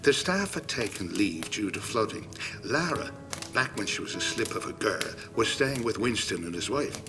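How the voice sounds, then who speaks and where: A wry, educated British gentleman reminiscing in a game cutscene. His refined voice moves in lively swings, with music clearly heard behind it. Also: fairly low pitch, moderate pace. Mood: wry reminiscence. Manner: refined, storytelling, theatrical. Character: learned, wry British gentleman reminiscing over old stories. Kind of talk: video game cutscene acting.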